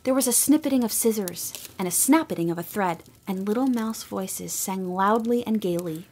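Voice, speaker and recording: A woman narrating a Christmas storybook in a gentle, expressive storytelling manner. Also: mid-range voice, moderate pace, slightly rough. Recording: music behind the voice.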